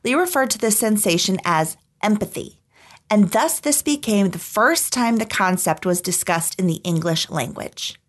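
A woman hosting a podcast, reading scripted narration in a measured, articulate storytelling manner. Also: mid-range voice, animated. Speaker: podcast host. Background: background music.

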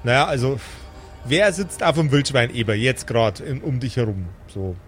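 A man's voice: mid-range voice, moderate pace, faint music behind. A man acting as game master, improvising the narration of a fantasy tabletop audio game.

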